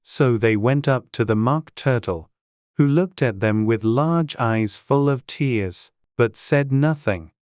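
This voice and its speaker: A male audiobook narrator reading a classic storybook. His delivery is slow and measured, in a very dry recording without room sound. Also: mid-range voice, darkish tone, clear, resonant tone, dry recording.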